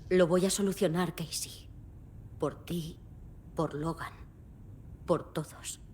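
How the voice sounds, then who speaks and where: A woman's voice: fairly even intonation, slow, a little mellow. An actress voicing a determined, direct investigator and protective mother in dramatic video game dialogue. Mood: resolute. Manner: direct, resolute. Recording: faint background rumble and noise.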